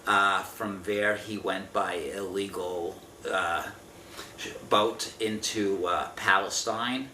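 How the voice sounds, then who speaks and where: A man in his fifties recounts family history in an interview with a deep voice that has a clear forward edge. He speaks in a measured, halting way, picked up from a distance in a roomy space. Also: fairly even intonation, sharp tone. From Israel. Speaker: interviewee giving family testimony. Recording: roomy, distant mic with faint noise.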